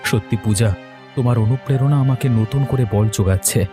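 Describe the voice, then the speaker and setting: A man's voice: mid-range voice, fast talker, warm tone, faint music behind, lightly acted. A man voicing an earnest, troubled young husband in a cartoon story. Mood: resolute. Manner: downcast, earnest. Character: dejected young husband who has lost his job. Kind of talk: Bengali cartoon story dialogue.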